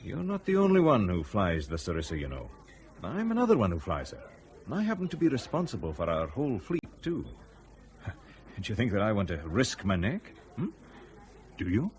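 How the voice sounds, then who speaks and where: A senior pilot in a radio drama, low-pitched and very varied in intonation. He reasons deliberately and sceptically, with a sardonic edge. The recording has a faint hiss. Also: wildly swooping pitch, a little mellow. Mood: forceful, reasoning. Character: senior male fleet pilot questioning a crashed colleague, measured, sceptical.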